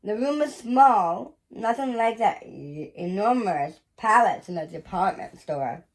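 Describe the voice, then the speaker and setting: A woman reading a children's picture book aloud in an expressive, deliberate storytelling manner with pauses. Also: medium pitch. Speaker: museum educator reading aloud.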